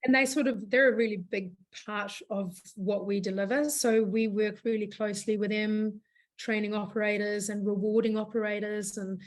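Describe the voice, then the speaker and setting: A woman with a hoarse voice, a business founder chatting in an interview, rambling with many fillers and restarts. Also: mid-range voice, steady tone, normal speed. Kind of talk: casual interview chat.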